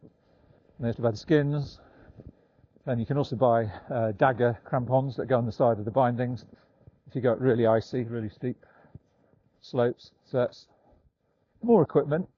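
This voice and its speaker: An older man chatting casually and unhurriedly, with halting pauses, as he records a walking vlog outdoors. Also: mid-range voice, muffled recording. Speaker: vlogger. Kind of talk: casual rambling vlog talk while walking. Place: outdoors, walking in snowy woods.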